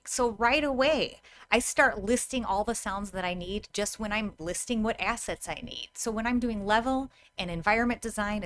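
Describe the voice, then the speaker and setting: A woman's voice: mid-range voice, fairly bright tone, slight background noise. Woman explaining things in a conversational tutorial. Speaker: host, VR game designer.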